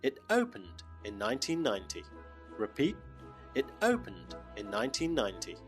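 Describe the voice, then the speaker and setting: A man's voice: mid-range voice, wildly swooping pitch. A male English teacher narrating a scripted lesson, modeling each phrase carefully so learners can repeat it. His intonation rises and falls very expressively. Faint music plays underneath. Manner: slow, clearly modeled phrases for repetition.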